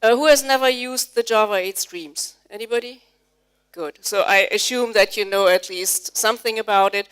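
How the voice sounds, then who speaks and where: A woman whose first language is German lectures a conference audience, explaining step by step and stopping to ask the room questions. Her voice is smooth and clear, at a middle pitch for a woman. She sounds middle-aged. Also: moderate pace, fairly bright tone. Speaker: conference speaker, Java trainer. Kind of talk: live technical conference talk. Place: conference hall before an audience.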